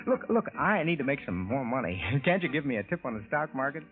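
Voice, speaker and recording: A man's voice: mid-range voice, very animated, fast, close to an everyday voice. A man acting in a 1940s radio drama as an ordinary good-natured husband, telling his strange tale in a folksy, hesitant, conversational way. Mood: eager, puzzled. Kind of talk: 1940s radio acting, first-person narration. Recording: tinny vintage radio broadcast with music.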